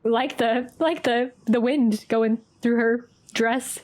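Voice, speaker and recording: A woman's voice: on the high side, fairly even intonation, slow pace, darkish tone. A woman streamer reacting casually as she plays a game, often stammering and repeating her words. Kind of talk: casual live playthrough reaction. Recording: game music behind.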